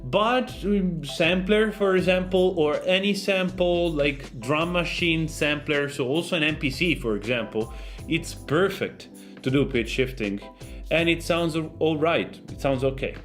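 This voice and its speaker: A man giving a casual, loosely phrased software tutorial as a sound designer. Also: fairly high pitch, a little slow, soft background music.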